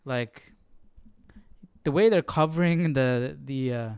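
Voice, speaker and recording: A man's voice: mid-range voice, takes it slowly, clean tone, no room sound, studio quality. A man hosting a casual Bible study livestream, commenting informally and thinking aloud. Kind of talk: casual live reading commentary.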